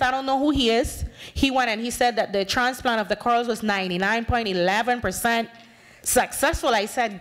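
A woman in her thirties with a Bay Islands Honduran English accent speaks at a conference podium. Her voice sits high and carries real passion. She is emphatic and acts out exchanges as she argues her case. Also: a bit bright. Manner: passionate, emphatic, gestures widely, acts out dialogue. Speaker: panel speaker, community activist. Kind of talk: impassioned conference talk. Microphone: podium microphone.

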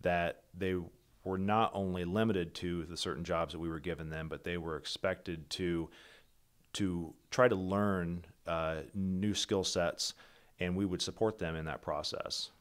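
Middle-aged male presenter, very low voice, steady and businesslike, keynote over slides. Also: a little slow, clear, resonant tone. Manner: steady, methodical, businesslike, with occasional slips and restarts. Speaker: company founder presenting.

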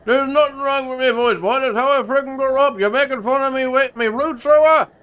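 A man plays a rough-spoken rural man in a comedy sketch, his voice pitched very high. He is touchy and blustering, always swearing, and sure that everyone is mocking him. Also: a little fast, fairly bright tone. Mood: defensive, indignant. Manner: blustering, swears constantly, tacks "boy" on every line.

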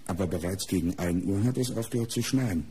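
An actor playing a composed, deferential police assistant in a radio crime play, dry and considered. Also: male voice, deep voice, fairly quick, mellow, slightly rough. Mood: thoughtful. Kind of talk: German radio crime drama acting.

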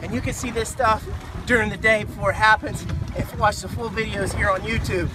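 A man talking casually and excitedly as host of a fishing vlog, outdoors on a boat at sea. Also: high voice, fairly quick, poor audio. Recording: loud music over outdoor boat audio.

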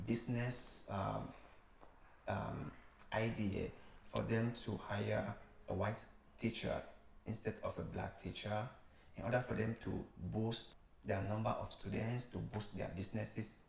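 A man's voice: fairly low pitch, vocal fry, echoey room, slight background noise. A man with a Cameroonian accent hosting a studio interview, asking probing questions. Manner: questioning, leading the talk. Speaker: show host. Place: small studio living-room set, indoors.

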